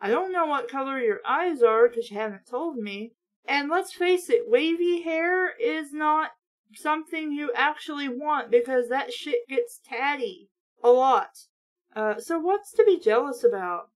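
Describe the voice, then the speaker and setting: High-voiced, sarcastic woman commentator. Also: expressive, unhurried. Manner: blunt, sweary, mocking. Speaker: book reviewer reading aloud with commentary. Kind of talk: sarcastic read-along commentary.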